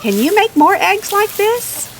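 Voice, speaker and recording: A woman's voice: very high-pitched, very animated, unhurried, slight background noise, pitched above the performer's own voice, full character voice. A woman voicing an enthusiastic, bossy storybook farm woman who speaks in an emphatic, exclaiming way. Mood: delighted. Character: eager, bossy, enthusiastic woman hen farmer.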